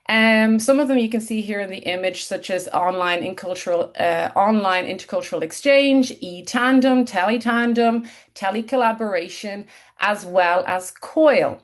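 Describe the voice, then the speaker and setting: An Irish woman, a lecturer and researcher, presenting a keynote over a video call, explaining at length with many ums. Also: mid-range voice. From Ireland. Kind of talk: webinar presentation.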